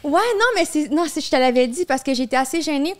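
Candid Quebec woman, higher voice. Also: fast, over a noisy crowd. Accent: Quebec French. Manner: candid, laughs easily while telling stories. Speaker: podcast guest. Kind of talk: casual chat. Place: small indoor podcast studio. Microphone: studio microphone on a boom arm.

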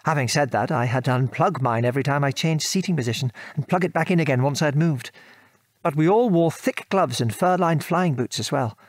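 A man's voice: on the high side, brisk, no room sound, close to an everyday voice. An actor playing an affectionate British father and former wartime air force officer, patiently reminiscing in an audio drama. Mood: fond, amused. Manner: chuckling, patient, old-fashioned British turns of phrase.

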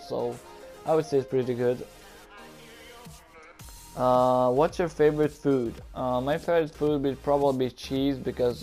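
A man's voice: mid-range voice, vocal fry, warm tone. A young man from Croatia with a Croatian accent, hosting a casual Q and A over game footage, hesitant and nervous with many ums and likes. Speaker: gaming YouTuber.

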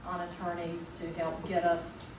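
A middle-aged woman speaking at a board meeting, measured and hesitant, often repeating her words, picked up from across a large room. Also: lowish voice, completely flat delivery. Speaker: school board member. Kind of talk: formal meeting discussion. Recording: echoey room, far from mic, background noise.

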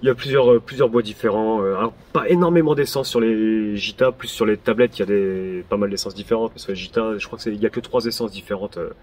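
A man's voice: on the low side, quick pace, few pauses, a little mellow, far from the mic. A man in his thirties, a guest who makes wooden stands, giving brief, agreeable replies in a casual chat outdoors. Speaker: woodworking guest. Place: outdoors in a garden.

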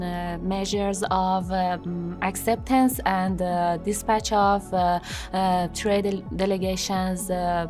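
A woman in her thirties from Iran whose first language is Persian speaks slowly, with a mid-range pitch and frequent hesitant fillers. She introduces herself to camera, seated in a studio. Faint music plays behind her. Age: 30s. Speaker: job seeker introducing herself. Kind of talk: unscripted self-introduction to camera. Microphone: seated, medium shot.

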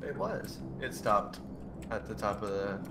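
A man's voice: on the low side, fairly even intonation, measured pace, heavy background noise. A man playing as a teammate over voice chat, teasing his friend playfully. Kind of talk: casual gaming chat.

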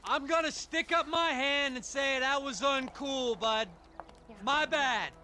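An actor playing a sheepish, easily panicked philanderer in a video game, casual and pleading. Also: male voice, very high-pitched, slow, pushed and bright, slight background noise, heavily acted. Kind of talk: video game cutscene acting.

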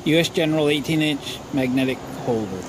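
A man's voice: a little high, fairly quick. Folksy man with noisy background. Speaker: DIY workshop host. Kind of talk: casual tool demonstration vlog. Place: driveway and open garage workshop. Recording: clear background noise, outdoor ambience.

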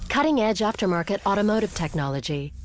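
An actress playing a polished, composed corporate technology spokeswoman in a video game, reading promotional narration in a measured, formal way. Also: medium pitch, very animated, a little hiss or hum, natural voice.

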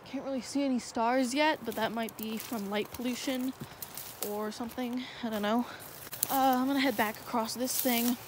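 A woman's voice: fairly high pitch, fairly even intonation, normal speed. A young woman vlogging on a night walk through a marshy wood, talking hesitantly with many fillers into a handheld phone. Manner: rambling, hesitant, lots of fillers. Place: outdoors in a dark wooded marsh at night. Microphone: phone held in hand. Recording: noisy outdoor phone recording.

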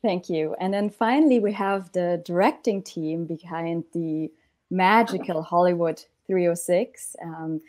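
German-accented woman moderator, low and warm, welcoming with frequent ums, earphone mic on a video call. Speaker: panel moderator. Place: indoor room at home.

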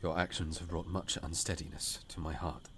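Man voicing a deep, brooding king. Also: little pitch movement, normal speed, a bit bright, a little hiss or hum. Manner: formal, grave, archaic phrasing. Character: brooding, commanding king, weary and regretful. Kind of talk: audiobook character dialogue.

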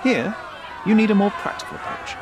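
An actor playing a self-assured young detective in a video game, articulate, polite but pointed and persuasive. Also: male voice, high-pitched, lively intonation, a little fast, crowd noise, noisy background. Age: young adult. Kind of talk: video game cutscene acting.

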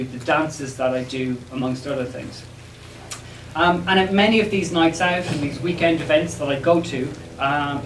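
A man with an Irish accent presenting an academic paper in a measured, slightly hesitant way to a seminar room. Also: on the high side. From Ireland. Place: wood-panelled seminar room, indoors. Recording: echoey room, distant mic, background noise.

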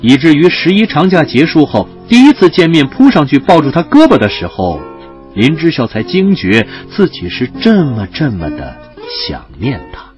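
A man narrating a campus romance audio drama as a gentle storyteller, his phrases trailing off softly. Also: on the high side, big pitch swings, moderate pace. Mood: tender, dramatic. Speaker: narrator and actor. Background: music.

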